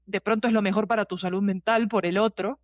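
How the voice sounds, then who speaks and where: A woman's voice: mid-range voice, fairly even intonation, fast talker, dry recording, studio quality. A woman gives a reflective, candid interview answer in colloquial speech, often checking that the listener follows. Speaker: podcast guest, fiction podcast producer.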